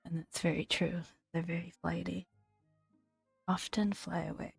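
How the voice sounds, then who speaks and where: A woman speaking softly in a breathy near-whisper, gently and unhurriedly, in an ASMR style with pauses between phrases as she reads game entries aloud. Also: on the low side, little pitch movement, no echo, soft background music. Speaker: gaming video host. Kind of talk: soft-spoken ASMR, reading game entries aloud with casual asides.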